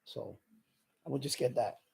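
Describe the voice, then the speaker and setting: A man's voice: medium pitch, normal speed, vocal fry, darkish tone, slightly rough. A man hosting an unscripted hobby livestream, chatting in an emphatic, opinionated way and repeating himself to drive points home. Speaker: live stream host.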